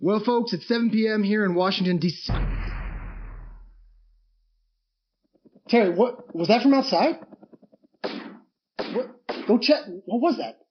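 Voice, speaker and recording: A man's voice: high voice, moderate pace, long pauses, highs cut off, lightly acted. A man playing a grave, defiant war-time radio announcer with a dramatic, emphatic delivery. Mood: startled, alarmed. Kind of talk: dramatic radio news broadcast acting. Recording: band-limited radio sound with clear noise.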